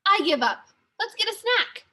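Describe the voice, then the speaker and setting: A woman voicing an energetic, curious young girl in an expressive children's picture book read-aloud. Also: extremely high pitch, normal speed, voice pushed up, heavily acted. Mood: frustrated. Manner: expressive, exclaiming and questioning.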